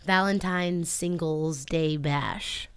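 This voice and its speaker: A woman's voice: low-pitched, takes it slowly, clean tone. A woman co-hosting a radio show, chatting and bantering casually. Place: radio studio.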